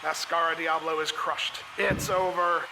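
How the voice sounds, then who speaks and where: A man playing an excitable lucha libre ringside commentator in an audio drama, calling out in dramatic, emphatic bursts. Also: fairly high pitch, measured pace, vocal fry, very noisy. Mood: excited.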